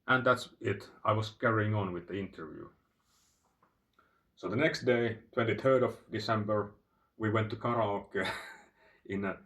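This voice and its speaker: A Finnish man with a Finnish accent, telling a long digressive story in a halting way with many fillers and restarts. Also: fairly low pitch, a bit bright, far from the mic. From Finland. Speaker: channel host. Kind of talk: rambling storytelling monologue. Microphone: phone.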